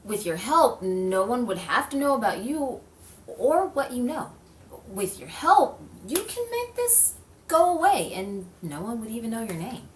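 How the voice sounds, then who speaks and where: A woman's voice: fairly high pitch, very animated, unhurried. A woman playing a persistent, coaxing newspaper reporter in an improvised noir radio play. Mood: persuasive, firm. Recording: roomy, distant mic with faint noise.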